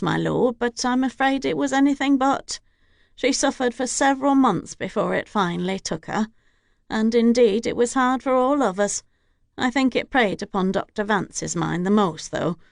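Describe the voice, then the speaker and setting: A woman's voice: fairly high pitch, big pitch swings, moderate pace, no room sound, studio quality. A woman voicing an older housekeeper who is formal and deferential to her lord. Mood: earnest. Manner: deferential to the lord, formal and old-fashioned speech. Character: older female housekeeper, devoted, stiff with outsiders.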